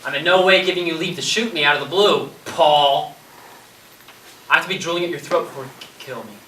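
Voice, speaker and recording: Male actor for exasperated, sarcastic zombie-play survivor; bright, lively voice, amateur stage, recorded at a distance. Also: fairly high pitch, brisk. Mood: earnest, exasperated. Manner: defensive, sarcastic, wordy when explaining. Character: Danny, exasperated sarcastic man fearing he is turning zombie. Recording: echoey stage recording from far off, noisy.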